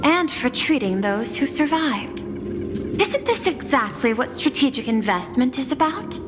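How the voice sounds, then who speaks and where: A woman's voice: sits high, expressive. A woman acting a composed, calculating, machine-minded corporate chief in a video game, speaking formally and with cool precision. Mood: persuasive, calculating. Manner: formal, measured, coolly precise. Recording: long hall reverb, music behind.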